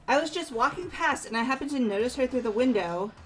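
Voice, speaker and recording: An anxious, excitable teenage girl acted by a woman speaks in a high, swooping voice. She is flustered and pleading in her visual novel dialogue, over soft game music. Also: quick pace, husky, rough recording. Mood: frightened.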